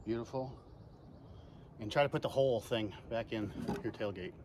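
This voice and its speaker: A man's voice: medium pitch, normal speed. A man talking casually to the camera and to himself as he builds a camera mount outdoors on his homestead. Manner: talks to himself while working, muttering encouragement. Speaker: homesteading vlogger. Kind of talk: casual narration while building. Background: loud power tool noise.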